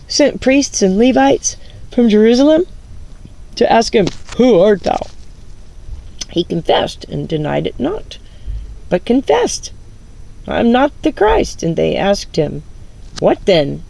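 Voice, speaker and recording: A woman reading the Bible aloud devotionally, in deliberate, halting phrases with pauses, in a home recording. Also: medium pitch, gravelly. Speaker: scripture reader. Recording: home recording with steady background noise.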